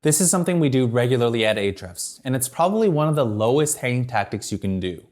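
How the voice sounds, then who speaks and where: A man presents a scripted tutorial, reading smoothly from a teleprompter. His voice sits at an ordinary middle pitch, and he delivers each point in a clear, structured, upbeat manner. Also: big pitch swings, quick pace, a little mellow. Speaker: video marketing presenter.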